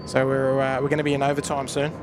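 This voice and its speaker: A man's voice: medium pitch, monotone, quick pace. A man with an Australian accent hosting a live stream, chatting casually outdoors while thanking viewers. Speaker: live stream host and plane spotter. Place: outdoors at an airport viewpoint. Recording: outdoor noise with traffic and aircraft.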